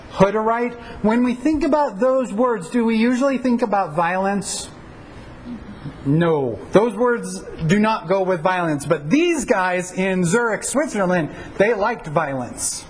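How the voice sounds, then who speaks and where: A man with a notably high voice leads a church history class as its pastor-teacher. He talks informally, tossing out rhetorical questions and fillers as he goes. A faint hiss sits under the recording. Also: low-quality recording. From United States. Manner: conversational, asks rhetorical questions, frequent fillers.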